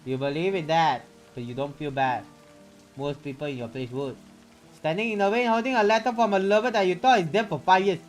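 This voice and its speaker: A man's voice: a little high, fairly quick, soft background music, poor audio. A man reading a visual novel aloud in a theatrical, playful way, with casual reactions in between. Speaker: streamer.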